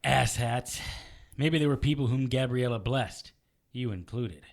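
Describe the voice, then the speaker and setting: A man's voice: medium pitch, unhurried, vocal fry. A man playing a stubborn, wounded supernatural detective in an audio drama, wry and defensive in manner. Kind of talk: naturalistic audio drama acting.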